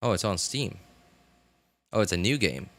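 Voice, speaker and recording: A man in his thirties chatting casually on a livestream, rambling and haltingly thinking aloud. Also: on the low side, big pitch swings, fairly bright tone.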